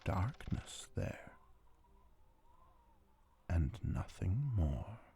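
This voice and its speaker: A man giving a theatrical dramatic recitation of a gothic poem, moving between hushed tones and loud outbursts, over a string quartet score. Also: deep voice, sing-song, drawn-out words, creaky, noisy background. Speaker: amateur reader of poetry. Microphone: close handheld portable recorder.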